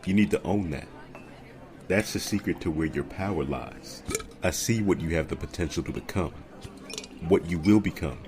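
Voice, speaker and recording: Man acting a deep-voiced, earnest romantic suitor. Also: noisy background, natural voice. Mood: earnest, tender. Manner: measured, earnest, poetic. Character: smooth, perceptive, polished romantic suitor, analytical and earnest. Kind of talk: audio drama dialogue.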